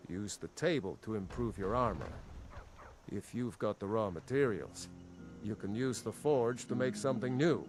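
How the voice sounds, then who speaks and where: A man playing a middle-aged village blacksmith in a fantasy game, earnest, opinionated and loyal to his empire. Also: on the low side. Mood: matter-of-fact. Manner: earnest, opinionated, matter-of-fact. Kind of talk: fantasy video game dialogue.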